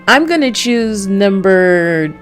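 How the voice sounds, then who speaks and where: A woman streamer reading a visual novel aloud and commenting casually as she plays. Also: mid-range voice.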